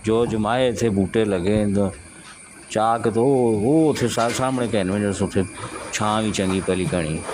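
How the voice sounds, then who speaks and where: A man's voice: lowish voice, normal speed, noisy background, natural voice. A man playing an easygoing, practical villager in an amateur rural audio drama, talking matter-of-factly.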